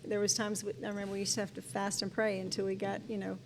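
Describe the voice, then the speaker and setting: A middle-aged woman pastor speaks as an interview guest, earnest and conversational, often restarting her thoughts. Her voice sits at an ordinary pitch for a woman. A faint hum runs behind her. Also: little pitch movement, quick pace, vocal fry. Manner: warm and earnest, with frequent restarts and "you know".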